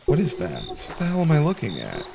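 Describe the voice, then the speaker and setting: A man's voice: on the high side, wildly swooping pitch, a little fast, creaky, background noise. A man giving casual let's play commentary on a horror game, sarcastic and jokey as he mutters to himself. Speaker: gaming content creator. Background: horror game sounds of crickets and insects.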